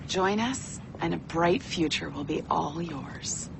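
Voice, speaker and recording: A woman's voice: takes it slowly, heavily acted. An actress playing a poised, coolly inviting corporate woman in a video game, formal in manner. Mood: coolly persuasive. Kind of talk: video game cutscene acting. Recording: clear rumbling background noise.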